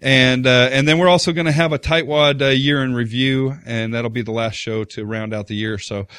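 A man's voice: medium pitch, steady tone, normal speed, a bit bright, clear, resonant tone, no room sound, studio quality. Rambling man hosting a podcast. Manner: rambling, many fillers and restarts. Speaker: podcast host. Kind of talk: casual podcast chat.

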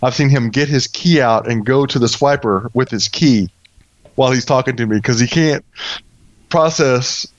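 A man's voice: mid-range voice, normal speed, no room sound, dull audio. A man co-hosting a casual tech podcast, telling anecdotes in an amused, laughing way. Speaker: podcast co-host.

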